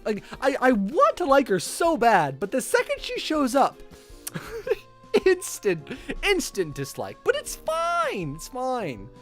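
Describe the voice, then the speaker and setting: Female streamer, lively swooping delivery, casual read-aloud with commentary over faint game music. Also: high-pitched, takes it slowly. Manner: chatty, reacts to the story aloud.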